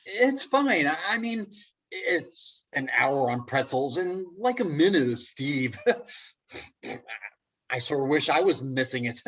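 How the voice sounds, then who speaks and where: Husky male voice, slow halting delivery, acted awkward wry office worker, stage comedy reading. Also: on the high side, big pitch swings, a bit bright, heavily acted. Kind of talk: comedic play table reading.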